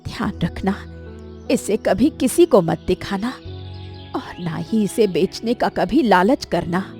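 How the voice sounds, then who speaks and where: Casting: a woman as sick elderly mother in a story cartoon, gentle yet firm, unhurried, soft mellow tone, music underneath. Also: medium pitch, animated. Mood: solemn, firm. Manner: weary, solemn, cautioning. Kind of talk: dramatic animated story acting.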